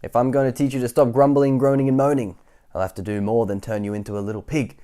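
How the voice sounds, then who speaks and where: A man's voice: mid-range voice, fairly even intonation, fast, dark tone, close to an everyday voice. A man voicing a stern male wizard who lectures a grumbler.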